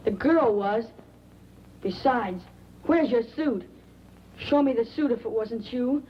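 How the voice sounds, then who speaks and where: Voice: mid-range voice, takes it slowly, a little hiss or hum, lightly acted. A young performer playing a sullen, frightened boy in a 1940s film. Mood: wary, accusing. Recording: dull old film soundtrack with music.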